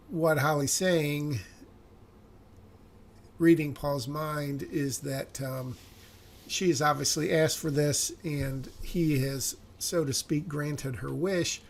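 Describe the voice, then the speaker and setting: A screenwriter thinking aloud about his draft, hesitant and self-correcting, his voice a little raspy today. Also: fairly high pitch. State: slightly raspy voice. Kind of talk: thinking aloud while reviewing a script.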